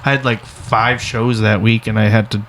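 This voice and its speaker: A man co-hosting a podcast in casual chat, easygoing and halting as he searches for words. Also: on the low side, level tone, fairly bright tone, a little hiss or hum. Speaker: podcast co-host.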